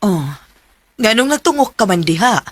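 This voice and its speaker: Radio drama casting: actress as practical, reassuring mum, middle-aged, medium pitch, Cebuano accent. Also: female voice, animated, normal speed, background noise, natural voice. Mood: reassuring, concerned. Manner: chatty, reassuring.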